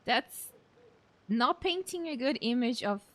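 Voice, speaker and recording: A woman's voice: fairly high pitch, animated, a little slow. A woman gaming streamer giving casual, playful reactions during a let's play. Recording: game music behind the voice.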